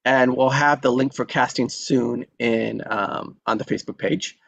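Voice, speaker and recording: A man's voice: medium pitch, steady tone, measured pace, vocal fry, a bit bright, dull audio. A man hosting an online table read, chatting casually in an upbeat, rambling, encouraging way. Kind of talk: casual chat. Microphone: video call.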